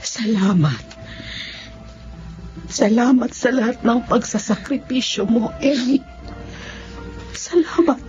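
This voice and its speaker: An actress playing a forgiving elderly Filipino mother and grandmother in a radio drama, speaking tenderly and earnestly in drawn-out phrases. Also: on the high side, a little mellow. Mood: grateful, tender. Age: older. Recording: dull lo-fi audio with music underneath.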